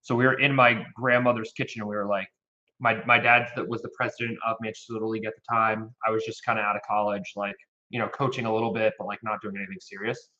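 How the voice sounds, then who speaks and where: A man's voice: fairly low pitch, level tone, fast talker, sharp tone. A man in his thirties from Connecticut, a youth baseball program director, chatting casually and rambling in an interview. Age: 30s. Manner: rambling, with frequent restarts and fillers. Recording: dull lo-fi audio.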